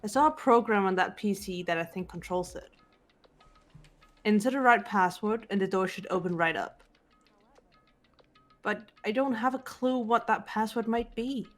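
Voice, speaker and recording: A woman playing an aloof, guarded teenage girl with a cool, measured, curt delivery in an amateur video game dub. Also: mid-range voice, natural voice. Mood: cool, frustrated. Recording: music behind the voice.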